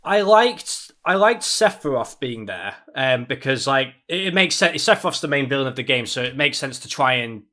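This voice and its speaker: A man's voice: mid-range voice, very animated, moderate pace, hardly any pauses, sharp tone. A man in a casual podcast discussion, giving opinions in loose, conversational phrases peppered with "like". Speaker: podcast panelist.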